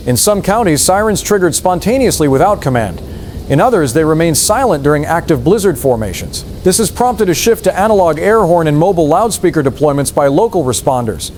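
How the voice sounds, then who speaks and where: Casting: male actor as measured emergency radio anchor, official tone, slightly high pitch, faint rumbling noise. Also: darkish tone, close to an everyday voice. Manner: formal, measured. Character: calm, formal male emergency news anchor of apocalypse. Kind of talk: scripted emergency radio bulletin.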